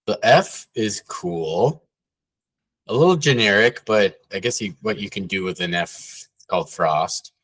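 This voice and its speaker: Low-voiced rambling podcast co-host man. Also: unhurried, breathy edge. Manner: rambling, lots of "like" fillers, animated when amused. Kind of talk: casual sports chat.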